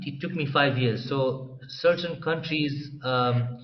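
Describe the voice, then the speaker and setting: Man from Tanzania, explaining calmly. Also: mid-range voice, slow pace. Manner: explanatory, relaxed, clears throat often. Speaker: medical doctor, psychiatry trainee. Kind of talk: interview guest explaining his career. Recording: slightly dull, faint room noise.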